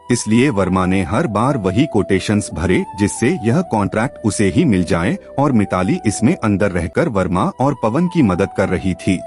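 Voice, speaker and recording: A man narrates a scripted story in a fairly low voice. His delivery is dramatic and very lively in pitch, swinging up and down as he reads. Soft music plays behind him. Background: background music.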